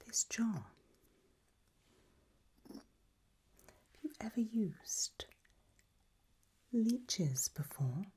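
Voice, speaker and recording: Casting: woman to play elegant, macabre gothic matriarch for ASMR roleplay; low, breathy whisper, gracious and genteel. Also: dark tone, heavily acted. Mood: gracious, darkly alluring. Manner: languid, formal, genteel.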